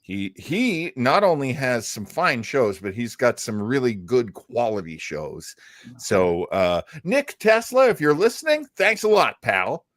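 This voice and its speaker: Male livestream host, informal and animated, very dry close sound. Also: mid-range voice, unhurried, no echo. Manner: chatty, informal. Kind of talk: casual live-stream introduction.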